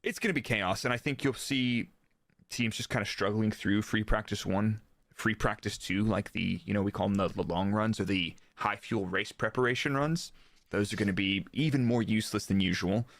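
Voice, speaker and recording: A man's voice: lowish voice, normal speed, fairly bright tone, no room sound, clean studio sound. An American man giving a casual technical explanation as a guest expert, acting out bits of dialogue as he goes. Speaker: guest, former Formula 1 performance engineer.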